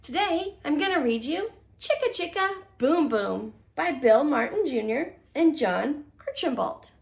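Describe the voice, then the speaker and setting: Very high, animated woman reading to kids. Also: a little slow, vocal fry. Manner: sing-song, exaggerated storytelling delivery for young children. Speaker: preschool teacher and read-aloud host. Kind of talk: animated children's read-aloud. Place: small living room, seated on a couch.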